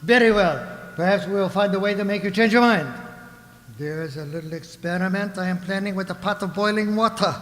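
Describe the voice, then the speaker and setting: High-voiced, pompous onion tyrant. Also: male voice, fairly quick, fairly bright tone. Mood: smoothly threatening. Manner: pompous, mock-genteel menace. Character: scheming old onion ruler, smooth, courteous tyrant. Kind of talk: theatrical radio drama acting. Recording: echoey hall with long reverb.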